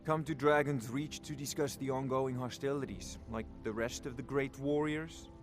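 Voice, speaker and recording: An actor voicing a condescending, pedantic court wizard in a fantasy video game, with theatrical phrasing. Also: male voice, mid-range voice, steady tone, moderate pace, faint music behind, close to an everyday voice. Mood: scornful, dismissive. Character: haughty, scholarly male court wizard obsessed with dragon research. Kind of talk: video game character dialogue.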